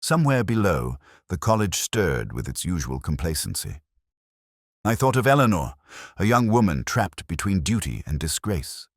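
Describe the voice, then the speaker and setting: A man narrating a first-person detective story in a measured, brooding, literary manner, as a world-weary investigator. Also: low-pitched, no room sound, studio quality. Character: world-weary, reflective male police detective. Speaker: audio drama narrator.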